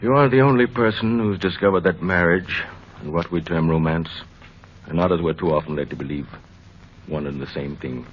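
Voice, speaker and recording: An actor playing an older, fatherly, opinionated family doctor in an old time radio drama, oratorical, with dramatic pauses. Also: low-pitched, lightly acted. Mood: sympathetic. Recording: muffled old radio recording, faint noise.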